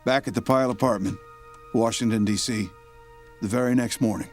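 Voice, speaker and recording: An actor playing the announcer of a period radio serial, narrating a scripted story. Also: male voice, medium pitch, a little fast, soft background music, close to an everyday voice. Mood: measured, dramatic.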